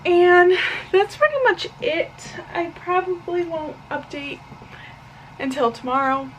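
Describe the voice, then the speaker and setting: A woman in her thirties giving a casual, rambling vlog update, halting and correcting herself, sounding tired and a little hoarse. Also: very high voice, lo-fi sound, slight background noise. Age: 30s. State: slightly hoarse, tired, sore throat. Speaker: book vlogger.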